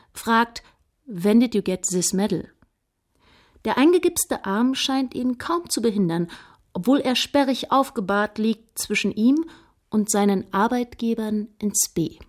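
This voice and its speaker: A woman's voice: fairly high pitch, darkish tone, natural voice. Actress as precise, dry female historian narrating. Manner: precise, dryly descriptive. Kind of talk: scripted narration in a radio drama.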